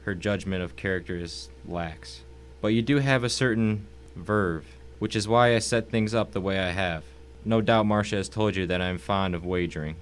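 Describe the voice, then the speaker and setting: A man's voice: low-pitched, normal speed, a little hiss or hum, close to an everyday voice. A man playing a smug, wealthy, cultured villain in an audio drama, speaking in a pompous, formal, mocking way. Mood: smug contempt. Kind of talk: dramatic audio story acting.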